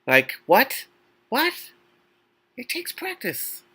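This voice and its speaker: A man hosting a dramatic book reading, animated and theatrical, breaking off into exasperated, incredulous, sarcastic commentary. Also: very high-pitched, very slow, husky. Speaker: host reading a novel aloud with commentary.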